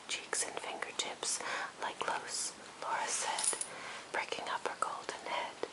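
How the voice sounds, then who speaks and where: A woman whispering a poem for ASMR in a breathy, unvoiced voice, reciting gently and deliberately. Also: poor audio. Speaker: ASMR host. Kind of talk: ASMR whispered poetry reading.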